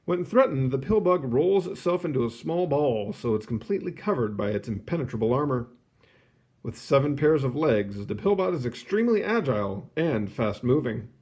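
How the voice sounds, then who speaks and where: Man, slightly rough husky voice, mid-pitched, read-aloud host, clearly phrased children's nonfiction, casual asides. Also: expressive, normal speed, darkish tone.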